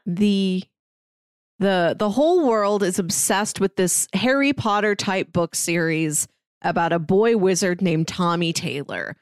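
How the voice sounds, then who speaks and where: A woman's voice: medium pitch, measured pace, no room sound. Casual woman podcaster, dry close sound. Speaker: podcast co-host. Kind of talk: casual, conversational plot summary.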